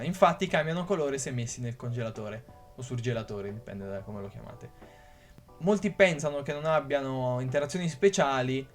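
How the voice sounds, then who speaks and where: A young man hosting his channel, reading a script while feverish, hesitant, stumbling and trailing off. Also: a little high. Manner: hesitant, restarts words, trails off quietly. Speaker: channel host explaining a meme.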